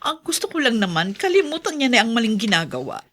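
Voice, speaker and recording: A woman's voice: on the high side, very animated, quick pace, a bit bright. A Filipino actress playing a worried, forgiving mother in a radio drama, pleading and emotional. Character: worried, forgiving, long-suffering mother of a wayward son.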